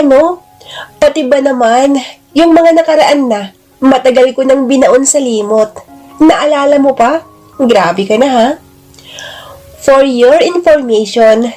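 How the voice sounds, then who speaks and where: A woman's voice: high-pitched, darkish tone. A woman narrator playing a bold, flirtatious single woman who talks in a brash, slangy, playfully scolding way in an audio drama.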